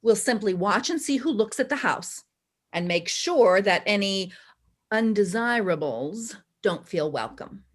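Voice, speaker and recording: A woman playing a bossy, suspicious, snobbish suburban housewife, brisk and judgmental, in a staged play reading. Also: mid-range voice, animated.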